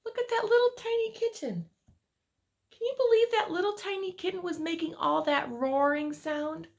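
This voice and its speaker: A woman's voice: very high voice, moderate pace, gravelly. A woman reading a picture book aloud to small children as a teacher would, stretching words for dramatic emphasis and adding asides to her listeners.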